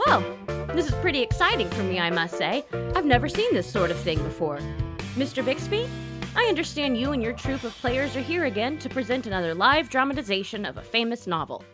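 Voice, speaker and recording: A woman's voice: on the high side, big pitch swings, brisk, over music. A woman playing a perky, naive female radio announcer in a comedy sketch, chirpy and guileless. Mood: cheery, excited.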